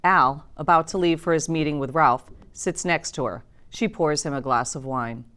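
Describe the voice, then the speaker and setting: A woman's voice: low voice, moderate pace, fairly bright tone. A woman narrator reading screenplay stage directions as scripted narration at a table reading.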